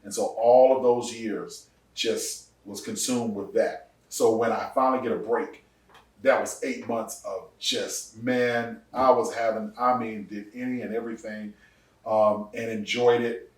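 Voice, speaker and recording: A man in his forties, a pastor, telling his testimony in a casual podcast interview, laughing often and jumping up in pitch for punchlines. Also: measured pace, distant mic. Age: 40s. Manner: animated storyteller, laughs often, rises sharply for punchlines. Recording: echoey room, voice off-mic.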